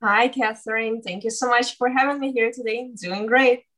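Woman, a bit high voiced, candid and passionate, interview guest. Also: steady tone, normal speed, a bit bright. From Ukraine. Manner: candid, passionate, non-native English phrasing. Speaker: guest, startup founder. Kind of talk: casual interview chat.

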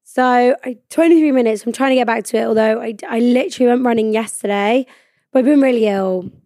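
A woman in her twenties, a little high-pitched, hosts a podcast from a studio. Her voice is croaky from a cold, and she chats about herself with loose, freely sworn stories. The sound is close and dry with no room echo. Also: a little fast, dark tone. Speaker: podcast host. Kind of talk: casual chat.

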